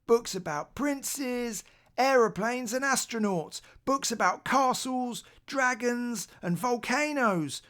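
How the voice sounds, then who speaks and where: A woman voicing a patient, reasonable young boy, an older brother in a children's storybook, calmly coaxing and explaining. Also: sits high. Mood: reasoning. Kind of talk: children's storybook read aloud.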